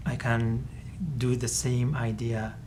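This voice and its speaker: A man's voice: mid-range voice, little pitch movement, takes it slowly. A man from Iraq, a visiting lecturer, speaking thoughtful non-native English and hedging often with "let's say". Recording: background noise.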